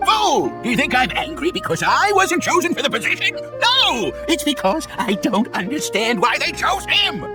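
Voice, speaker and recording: An actor playing an indignant, pompous old professor in a video game, with a theatrical manner. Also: male voice, very high voice, huge pitch swings, moderate pace, few pauses, over loud music, heavily acted. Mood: outraged. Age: older.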